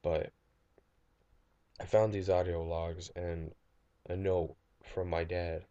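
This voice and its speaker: Man acting a low-voiced, halting young apocalypse survivor. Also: level tone, dark tone, no echo, dull audio. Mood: weary, pleading. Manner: halting, distraught, stammering and repeating himself. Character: traumatized young man recording an apocalypse survivor's audio log. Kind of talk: amateur audio drama monologue, diary-like.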